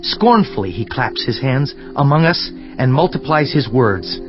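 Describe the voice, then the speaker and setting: A man's voice: mid-range voice, big pitch swings, measured pace. An actor playing an earnest, indignant biblical man, declaiming in an oratorical dramatized scripture reading with rhetorical questions. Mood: stern, challenging. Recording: dull lo-fi audio with music. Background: background music.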